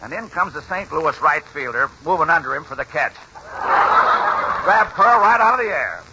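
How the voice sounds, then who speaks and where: A man's voice: a little high, big pitch swings, normal speed, highs cut off. A man playing a folksy old grandfather in a 1940s radio drama, spinning a baseball yarn in colloquial speech. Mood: animated storytelling. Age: older. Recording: noisy, band-limited 1940s radio recording.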